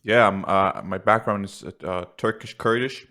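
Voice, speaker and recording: A man's voice: low-pitched, a little slow, pushed and bright. A man from Denmark with an American-influenced accent, chatting casually as a podcast co-host. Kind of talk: casual chat.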